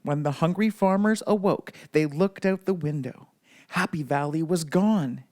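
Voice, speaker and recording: A man's voice: a little high, unhurried, a little mellow. A man narrating a children's bedtime story as a read-aloud storybook reader.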